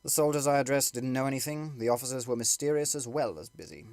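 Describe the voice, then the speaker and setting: A man's voice: medium pitch, fast talker, a little mellow, dry recording. A man reading a literary story aloud as scripted audiobook narration.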